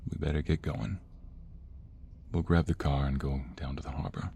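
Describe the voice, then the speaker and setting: Casting: man to voice protective mob lover for audio roleplay, deep and deliberate, emotionally earnest, faint noise behind. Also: steady tone, creaky, mellow. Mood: grim, tender. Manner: earnest and emotional, dramatic pauses. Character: protective, defiant mobster on the run, devoted lover. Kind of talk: audio roleplay romance acting.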